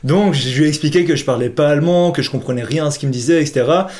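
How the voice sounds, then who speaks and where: A man's voice: fairly high pitch, very fast, a little mellow. A young man co-hosting a podcast, casually telling an anecdote to a friend in slangy, laughing bursts. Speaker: podcast co-host.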